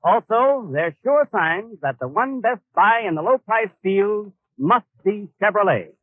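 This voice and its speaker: A man's voice: sits high, dry recording. An American man announcing a 1930s radio commercial in an emphatic, formal salesman's manner. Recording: narrow, muffled 1930s radio broadcast.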